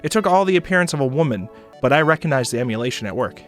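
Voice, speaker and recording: A man reading a story aloud as a streamer, in expressive narration with casual asides. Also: mid-range voice, racing, soft background music. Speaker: streamer narrating a visual novel.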